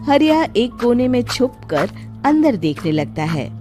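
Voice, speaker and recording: A woman's voice: on the high side, sing-song, a little slow, warm tone, soft background music. A woman narrating a scripted children's story as the storyteller.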